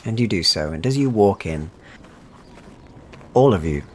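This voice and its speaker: A man's voice: on the low side, expressive, measured pace, slight background noise. A man narrating a tabletop fantasy game as game master, in a descriptive, evocative storytelling manner.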